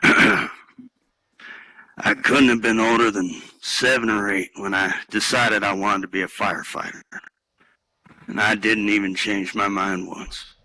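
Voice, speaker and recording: A man playing an embittered, haunted ex-firefighter in a dramatic script reading, with deliberate, halting delivery. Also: fairly low pitch, little pitch movement, sharp tone, no echo, low-quality recording. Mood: somber, reminiscing. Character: gruff embittered male ex-firefighter, haunted, angry at machines.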